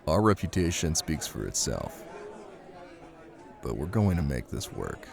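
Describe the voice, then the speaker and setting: A man playing a possessive, menacing Italian mafia husband in an intimate ASMR roleplay, speaking in a commanding way with deliberate pauses. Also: extremely low pitch, big pitch swings, vocal fry, a faint crowd, full character voice. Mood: measured, patient.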